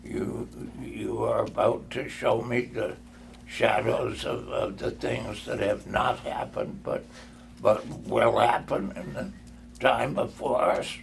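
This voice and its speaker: A man's voice: medium pitch, level tone, gravelly, a little hiss or hum. A man voicing a frightened, humbled old miser in a storybook reading, pleading and stammering. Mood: fearful, halting. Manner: halting, stammering.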